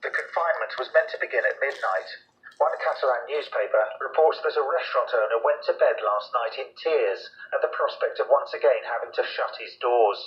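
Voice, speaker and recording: A man's voice: medium pitch, brisk, dull audio. A man reading a scripted news report as an editor on a shortwave radio broadcast.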